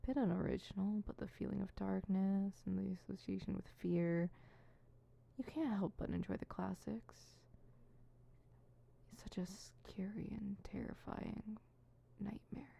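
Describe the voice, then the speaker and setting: A woman's voice: medium pitch, steady tone, measured pace, creaky, dark tone. A woman playing an insecure, theatrical supervillain rehearsing monologues in an ASMR roleplay, switching between fumbling mutters and pompous recital. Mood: grandiose, brooding. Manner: fumbling self-conscious muttering broken by pompous verse recital. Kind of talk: ASMR roleplay, scripted solo audio drama.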